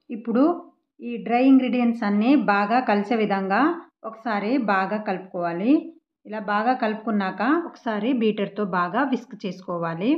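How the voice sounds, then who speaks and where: A woman's voice: medium pitch, dull audio. A woman with a Telugu accent narrating a cooking recipe step by step as a voice-over, in a polite, patient teaching tone. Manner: polite, patient instructional tone with frequent respectful endings. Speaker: cooking channel host.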